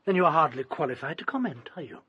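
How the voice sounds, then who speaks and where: An actor playing a supercilious, bitter country doctor in a radio drama, speaking formally and sarcastically. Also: male voice, fairly high pitch, animated, fast, pushed and bright, slightly rough, highs cut off, exaggerated voice. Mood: scornful, impatient.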